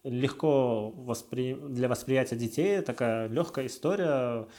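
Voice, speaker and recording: A man speaking as an interviewer in a casual, conversational way, thinking aloud haltingly. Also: mid-range voice, mellow.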